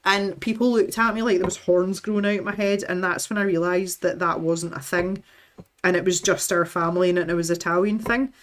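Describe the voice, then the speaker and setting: A Scottish woman chatting casually as a livestream host, opinionated and given to lively storytelling. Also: lowish voice, brisk. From west coast of Scotland. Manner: chatty, opinionated, animated storytelling with acted-out quotes. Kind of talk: casual chat with live viewers.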